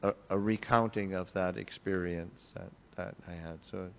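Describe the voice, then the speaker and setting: An older man, a Buddhist monk, giving an informal talk and answering questions, pausing often with fillers and restarts. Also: low voice, fairly even intonation, creaky, in a large hall, slight background noise. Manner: halting, many fillers and restarts.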